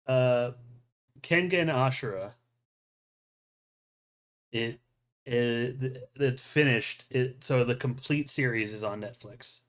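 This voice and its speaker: A man's voice: mid-range voice, slow pace, long pauses, a bit bright. A man hosting a podcast, chatting casually and enthusiastically in a rambling way with frequent chuckles. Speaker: podcast host. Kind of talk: casual chat about anime.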